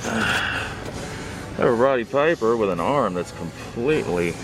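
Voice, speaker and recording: A man hosting a toy-hunting vlog, commenting casually and haltingly off the cuff as he browses inside a large store. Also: medium pitch, expressive, over music.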